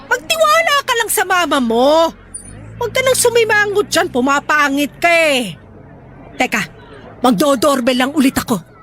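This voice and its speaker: A woman's voice: sits high, sing-song, normal speed, a bit bright, some chatter behind, a little hiss or hum. A woman playing a pushy gambling mother. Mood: coaxing. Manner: scolding, then wheedling. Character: pushy indebted mother, gambler, stubborn and dismissive. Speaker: mother in a radio-style drama. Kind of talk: radio drama acting.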